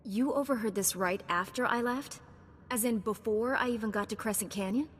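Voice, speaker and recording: A woman playing a composed, resolute Jedi knight in a video game, calm, firm and dry. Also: a little high, moderate pace, natural voice. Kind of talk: video game cutscene acting. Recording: reverberant game audio, faint music.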